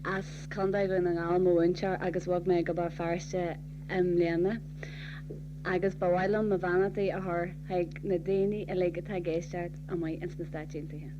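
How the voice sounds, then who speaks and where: A woman from Ireland giving a formal greeting and introducing herself, in a steady, even delivery. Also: lowish voice, moderate pace, dull audio, background noise.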